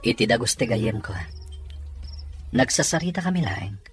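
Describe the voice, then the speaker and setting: A man's voice: mid-range voice, sing-song, normal speed, over music, natural voice. A man acting an earnest young man in love trouble in a radio drama, speaking with restraint and a Filipino accent. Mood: defensive.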